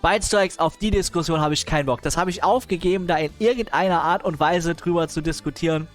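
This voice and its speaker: A man's voice: on the high side, brisk, faint music behind. A man streaming casual reaction commentary on videos, impatient and sarcastic, with chuckling asides.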